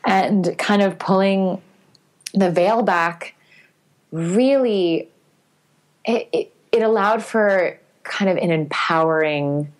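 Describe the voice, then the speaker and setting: A woman guest on a podcast interview talks candidly and thoughtfully, with many fillers, and grows animated at times. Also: medium pitch, takes it slowly. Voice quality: sweet singing voice.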